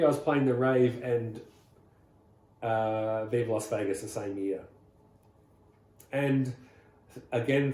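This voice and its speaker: Middle-aged Aussie male guest, rambling and relaxed storyteller, echoey room. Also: mid-range voice. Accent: Australian. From Australia. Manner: rambling, relaxed, many "uh"s and restarts. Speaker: rockabilly singer, guest. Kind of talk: casual interview storytelling.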